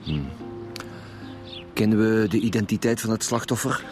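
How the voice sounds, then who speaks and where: An actor playing a gruff, blunt chief homicide inspector with a Flemish accent in a radio drama. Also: male voice, lowish voice, normal speed, over music, close to an everyday voice. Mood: businesslike, blunt. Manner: blunt, businesslike. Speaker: detective. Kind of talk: naturalistic radio drama acting.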